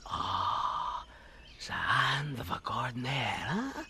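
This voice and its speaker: A gravelly old French grape farmer. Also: male voice, medium pitch, wildly swooping pitch, slow, heavily acted. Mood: gentle. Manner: warm coaxing, often hushed to a whisper. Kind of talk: 1950s radio drama acting. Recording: hissy old radio recording.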